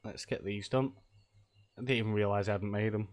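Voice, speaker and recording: A man's voice: fairly low pitch, steady tone, brisk. A middle-aged man, stuffy from a cold, chatting casually and rambling with lots of ums and laughs while live-streaming a game.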